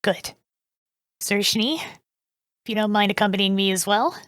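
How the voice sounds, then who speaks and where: A woman's voice: mid-range voice, a little slow, fairly bright tone, dry recording, clean studio sound, natural voice. A woman playing a young, decisive but wary queen in a fan dub, earnest and polite when giving orders.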